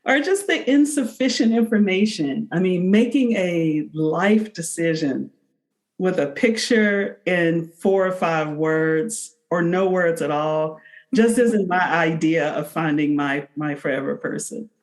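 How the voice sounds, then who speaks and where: A woman's voice: lowish voice, unhurried. A woman in her forties answering interview questions as a podcast guest, explaining things earnestly in a conversational way. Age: 40s. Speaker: podcast guest, company founder.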